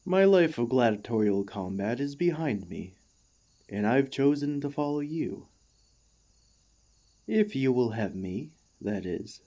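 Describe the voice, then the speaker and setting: Man voicing a slow, gentle warforged ex-gladiator. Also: medium pitch, sing-song. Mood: warm, tentative. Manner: formal, courteous, softly hesitant phrasing. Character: gentle, earnest warforged ex-gladiator, devoted to a cleric. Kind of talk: ASMR roleplay, addressing the listener.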